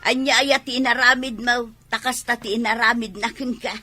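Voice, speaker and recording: A woman's voice: fairly high pitch, fairly even intonation, normal speed, sharp tone, soft background music. A woman with an Ilocano accent acting a sensible, sympathetic village woman who gives advice in a comedy drama. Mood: chiding.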